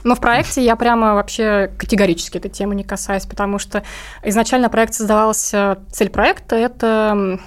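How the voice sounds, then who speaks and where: A woman's voice: medium pitch, steady tone, brisk. A woman speaking casually and informally as an interview guest, telling about her own creative work. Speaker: guest, illustrator and project creator.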